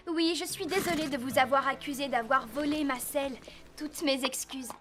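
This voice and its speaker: A woman voicing a sweet, earnest young girl in a French cartoon, gentle and sincere. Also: high-pitched, moderate pace, background noise, close to an everyday voice. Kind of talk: French cartoon dub acting.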